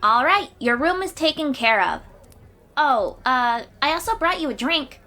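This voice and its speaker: Fantasy audio story role: plucky young girl warrior from the sea played by a woman, bright and lively, unhurried, perky. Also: sits high, faint music behind, heavily acted. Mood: friendly, confident. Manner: perky, informal, expressive. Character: confident thirteen-year-old sea-dweller girl warrior, cheery and chatty. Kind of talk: fantasy audio roleplay addressed to the listener.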